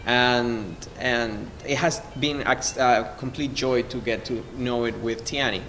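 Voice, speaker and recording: A man from Venezuela, a cellist, introducing music informally in an effusive, halting way as he searches for words. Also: mid-range voice, steady tone, fairly bright tone. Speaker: cellist in a string quartet. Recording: noisy, long hall reverb.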